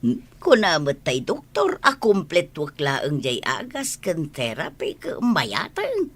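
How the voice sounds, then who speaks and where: Woman acting affectionate ailing older mother, radio drama, husky, low pitch, expressive intonation. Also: slow, full character voice. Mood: chatty, proud. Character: ailing, affectionate elderly mother of a working son.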